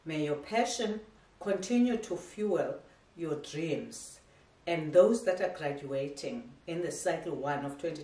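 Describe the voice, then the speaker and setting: A middle-aged woman gives a prepared graduation address in a measured, ceremonial tone. Her voice is low for a woman. She is recorded from across a living room, so the sound is echoey and distant. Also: a little mellow. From South Africa. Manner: measured, ceremonial delivery with slight stumbles. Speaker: academy head giving a graduation speech. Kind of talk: formal prepared speech read aloud. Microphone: camera across the room.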